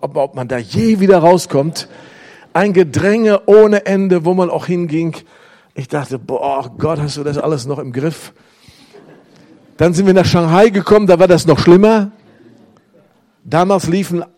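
A man's voice: fairly high pitch, warm tone. A middle-aged man preaching a sermon to a congregation, acting out prayers and quotes as he tells stories. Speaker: pastor. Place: church hall with congregation.

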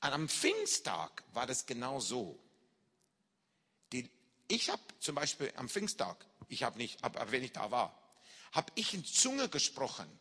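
A man preaching and telling a story from his own life, acting out shouts and reactions, speaking German with non-native grammar. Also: mid-range voice, measured pace, slightly rough, long reverb tail. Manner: animated, acts out shouts and reactions. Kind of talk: sermon testimony, storytelling.

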